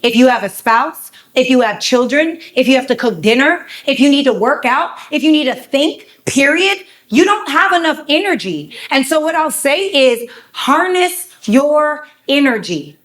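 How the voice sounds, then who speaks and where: A bubbly woman from Canada who speaks like a motivational speaker. Her voice is raspy and a little hoarse. She has a fairly high pitch. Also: animated, moderate pace, hardly any pauses, a bit bright. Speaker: panelist. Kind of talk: live panel talk.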